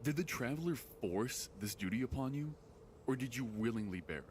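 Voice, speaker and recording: A man acting a grave, patient mentor of a secret order in an audio drama, speaking in measured, formal phrases. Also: mid-range voice, animated, darkish tone, slight background noise, natural voice. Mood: solemn, probing. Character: solemn, patient male mentor of a secret circle.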